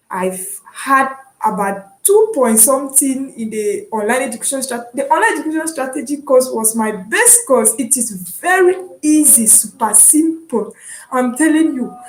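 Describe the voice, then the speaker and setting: A young woman from Cameroon with a Cameroonian English accent, chatting casually and encouragingly about her online studies, often pausing with "um". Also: high-pitched, roomy sound, poor audio. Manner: chatty and encouraging, with frequent "um" and restarts. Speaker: vlogger and online student. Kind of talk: casual explanatory vlog.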